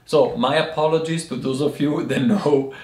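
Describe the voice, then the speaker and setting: A man in his thirties with a strong foreign accent, giving a casual video lesson on tea and talking in halting bursts with many restarts. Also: on the high side, a little mellow, roomy sound. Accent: foreign accent in English. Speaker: tea teacher. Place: small room indoors by a lamp.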